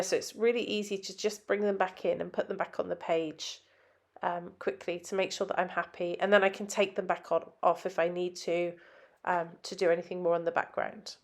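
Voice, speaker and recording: Nasal-sounding woman with a head cold. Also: mid-range voice. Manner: chatty, explains step by step with frequent ums. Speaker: scrapbook designer. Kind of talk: voice-over tutorial narration.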